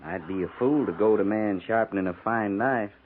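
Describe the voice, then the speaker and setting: An actor playing a folksy frontier soldier who goads coolly and slyly in a 1950s western radio drama. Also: male voice, fairly low pitch, moderate pace, slight background noise, natural voice. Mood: cool needling. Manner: folksy, slyly goading understatement. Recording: thin, tinny 1950s radio recording.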